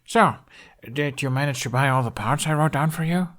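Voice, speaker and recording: A man's voice: on the high side, normal speed, a bit bright, pitched above the performer's own voice, close to an everyday voice. A man voicing a sensible, cautious young man with a dry, reassuring manner in an audiobook story. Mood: earnest, dry. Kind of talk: audiobook character voice.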